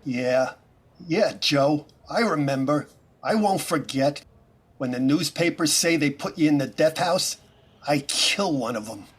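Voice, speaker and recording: An actor playing a simple-minded, obedient shell-shocked veteran, childlike and eager to please, in a 1930s radio drama style. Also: male voice, a little high, expressive, a little slow, breathy edge.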